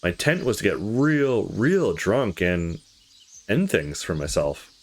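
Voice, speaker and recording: A man voicing a troubled, candid young visual novel character who confides past heartbreak in a halting, confessional way. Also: on the low side, sing-song, soft background music, full character voice. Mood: heavy, vulnerable.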